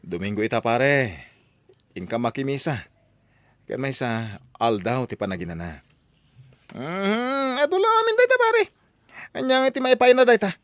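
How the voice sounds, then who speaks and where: A man's voice: medium pitch, huge pitch swings, normal speed, long pauses, dry recording. A man playing a stubborn, boastful husband and father who teases his friends in a radio drama. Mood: teasing. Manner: boastful, teasing. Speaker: voice actor.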